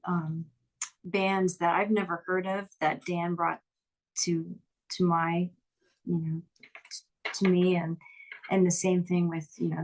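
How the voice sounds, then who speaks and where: A woman's voice: on the low side, low-quality recording. A woman musician chatting casually as an interview guest, upbeat and talkative with frequent restarts. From Lehigh Valley, Pennsylvania.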